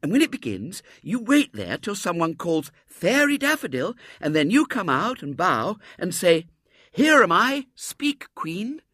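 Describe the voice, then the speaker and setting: A plaintive little girl from a storybook, put on by a man, pitched very high and bright. She sounds earnest and pleading, with big swoops in every phrase. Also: huge pitch swings, measured pace, dry recording, studio quality, full character voice. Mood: eager, grateful. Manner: wailing, plaintive, breathless phrasing. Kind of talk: storybook character voice in narration.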